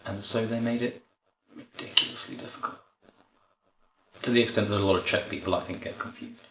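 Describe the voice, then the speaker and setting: A deep-voiced man gives casual, slightly wry interview answers, hesitating as he goes. The recording is roomy and echoey, with him set back from the microphone. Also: fairly even intonation, a little fast, bright, forceful tone, recorded from a distance, a little hiss or hum. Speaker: interviewee in a documentary.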